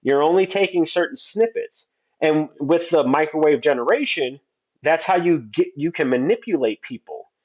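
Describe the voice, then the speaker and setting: A man's voice: on the high side, lively intonation, moderate pace, a little mellow. Earnest man in his forties, muffled lo-fi line. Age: 40s. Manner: earnest, talks at length with restarts. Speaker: guest. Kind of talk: opinionated conversation.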